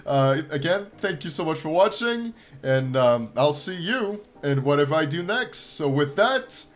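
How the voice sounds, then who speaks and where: A man hosting a gaming channel, hoarse and tired, talking his viewers through a game feature in an upbeat, encouraging way. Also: fairly high pitch, very animated, a little slow. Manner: upbeat and encouraging, with frequent laughs and throat clearing. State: tired after a long stream. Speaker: YouTube gaming channel host. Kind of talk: casual gameplay commentary to viewers.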